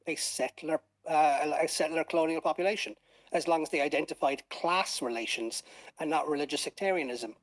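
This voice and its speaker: A man with a somewhat higher-pitched voice speaks in a casual political discussion. His delivery is earnest and conversational.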